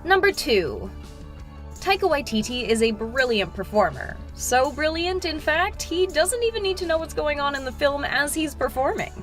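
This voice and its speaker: A woman delivers scripted narration for an entertainment video. Her voice sits fairly high, and music plays lightly behind her. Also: big pitch swings, normal speed, fairly bright tone.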